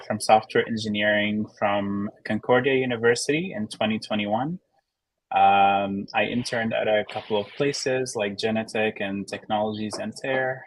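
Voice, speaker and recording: A man's voice: on the low side, fairly bright tone, no echo, poor audio. A man in his twenties, a panelist, giving a casual self-introduction. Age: 20s. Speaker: panelist, software developer.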